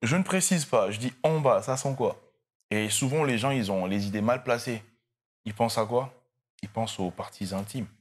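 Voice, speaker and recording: A man's voice: medium pitch, moderate pace. A young man from DR Congo with a Congolese French accent, a content creator chatting easily as an interview guest while he searches for his words. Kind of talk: casual interview chat.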